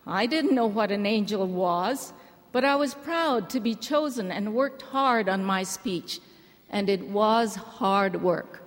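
A woman from Hawaii giving an earnest, gentle church address to a women's gathering. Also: mid-range voice, lively intonation, slow. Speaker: church speaker. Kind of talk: measured address to a women's conference. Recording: large hall reverb.

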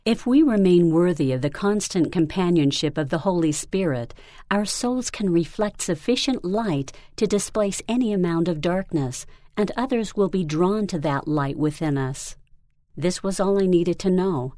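A woman reading a first-person story aloud in a calm, earnest manner, recorded close to the microphone. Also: on the low side, normal speed, dry recording. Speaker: reader.

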